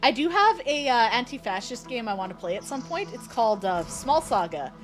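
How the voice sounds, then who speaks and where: A woman's voice: on the high side, sing-song, brisk, soft background music. A young woman, chatting bluntly and opinionatedly while playing a game. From Canada. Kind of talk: casual gaming chat.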